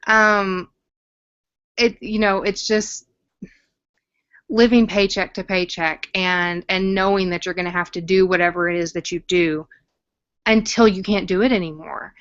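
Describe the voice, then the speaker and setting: Young female co-host, casual recap chat, bright forward voice, gushing and jokey, dull low-fidelity audio. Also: fairly even intonation, a little slow, pauses often, clear, resonant tone. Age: young adult. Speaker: podcast co-host. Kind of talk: casual chat discussing a TV drama.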